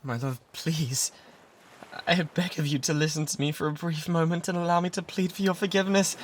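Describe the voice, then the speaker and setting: Breathy British lovesick pirate, acted by a man. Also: on the high side, fairly even intonation, brisk, natural voice. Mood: desperate pleading. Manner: breathy, drifting into whispers, theatrical pleading. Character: lovesick obsessive male pirate, pleading and devoted. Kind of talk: intimate ASMR roleplay acting. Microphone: close binaural microphone. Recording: close binaural recording with background ambience noise.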